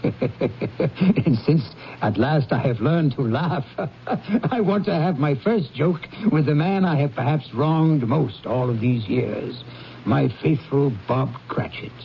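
A man's voice: on the high side, animated, takes it slowly, creaky, slight background noise, exaggerated voice. A man playing a reformed old miser, merry and mock-stern, in theatrical 1970s radio drama style. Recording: muffled 1970s radio broadcast, faint music.

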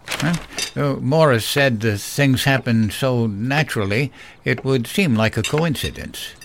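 A man playing an uneasy, stubborn old father and former soldier in an audio drama, halting and defensive. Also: mid-range voice, vocal fry, slight background noise. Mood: musing.

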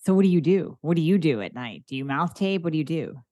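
A man's voice: a little high, lively intonation, fast, dark tone. A man hosting a podcast, asking short prompting questions. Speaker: podcast host. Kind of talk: interview questions.

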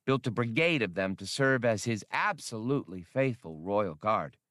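A man's voice: lowish voice, expressive, moderate pace, fairly bright tone, no echo, clean studio sound. A man voicing an eager, scholarly furry alien in an audiobook, speaking earnestly and formally. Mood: eager, explanatory.

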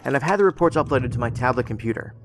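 A man's voice: mid-range voice, lively intonation, very fast, background noise, natural voice. A man playing a quirky, tactless federal agent in an audio drama, talking in a rambling, pedantic, matter-of-fact way. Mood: teasing, casual.

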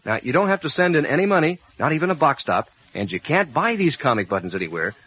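A man's voice: medium pitch, fairly bright tone, slightly rough. An American man announcing in 1940s radio advertising style for children, with a folksy, enthusiastic sales delivery. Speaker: radio announcer and sponsor pitchman. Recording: dull old radio recording.

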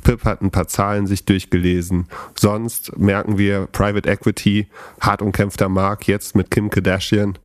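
Podcast host guy, lowish voice, dry close sound, quick jokes. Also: little pitch movement, dry recording. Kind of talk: casual chat.

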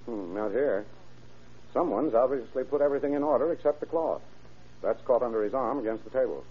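An actor playing a shrewd, skeptical detective lieutenant in a clipped, hard-boiled old radio drama style. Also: male voice, mid-range voice, brisk, husky, narrow, tinny audio, close to an everyday voice. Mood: suspicious, brisk. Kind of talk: vintage radio crime drama acting.

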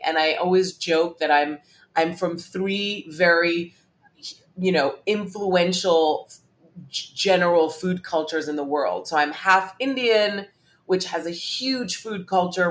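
A woman in her forties from Hong Kong, telling family stories as a podcast guest and often restarting her phrases. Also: fairly low pitch. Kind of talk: casual interview chat.

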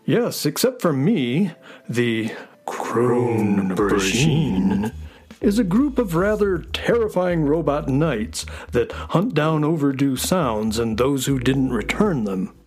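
A man plays an excitable, kindly space horse for a children's audio story. The delivery swoops and lingers slowly on words in a folksy, theatrical way, with music underneath. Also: mid-range voice, breathy edge, heavily acted. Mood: eager, explaining. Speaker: voice actor.

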